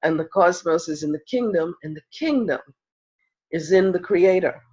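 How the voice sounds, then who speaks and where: A high-voiced man teaches on spiritual purpose, a little stuffed up and nasal from a cold. He builds his points through earnest, repeated questions. The recording sounds dull and lo-fi. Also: expressive, normal speed, darkish tone, breathy edge. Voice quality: slightly nasal. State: has a head cold. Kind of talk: inspirational spiritual talk.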